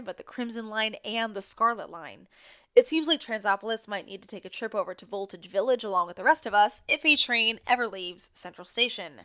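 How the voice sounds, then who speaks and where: A woman's voice: a little high, pushed and bright. An actress playing a sardonic, reluctant woman co-hosting small-town radio, with a blunt, grudging delivery. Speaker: radio co-host. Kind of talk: scripted radio announcing with sarcastic asides.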